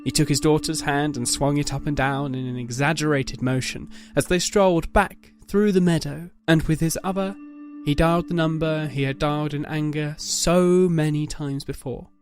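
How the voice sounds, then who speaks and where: Higher-voiced male narrator over music. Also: fairly even intonation, moderate pace. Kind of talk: dramatic scripted narration.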